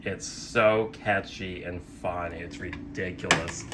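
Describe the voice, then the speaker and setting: A man's voice: low-pitched, steady tone, takes it slowly, very noisy. A young adult man giving a casual, rambling show-and-tell as a channel host, self-deprecating and sardonic, with casual swearing. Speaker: host of a physical media collecting channel.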